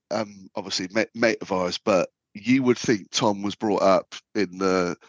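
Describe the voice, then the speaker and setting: A man's voice: fairly low pitch, measured pace, no room sound, dull audio. A man co-hosting a football podcast in casual chat, talking with lots of fillers and restarts. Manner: chatty, many fillers and restarts. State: slight man flu. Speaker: podcast co-host.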